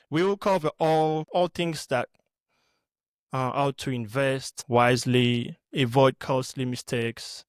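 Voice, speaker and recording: A man's voice: mid-range voice, dry recording. Male podcast host, mid-range voice, interview style, dry recording.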